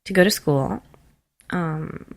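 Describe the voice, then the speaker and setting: A woman's voice: on the low side, slow pace, vocal fry, no room sound. A woman from Israel chatting casually and playfully as an interview guest, informal and a little self-deprecating. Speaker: interview guest, jazz bassist. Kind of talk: casual chat.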